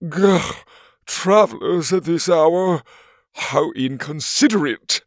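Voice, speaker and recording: A man voicing a giant, vain male chicken chief who fusses over clothes, speaking in a drawn-out, haughty way. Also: on the high side, no room sound, studio quality, voice pushed up. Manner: drawn-out, haughty, slow and swooping. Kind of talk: storybook character voice.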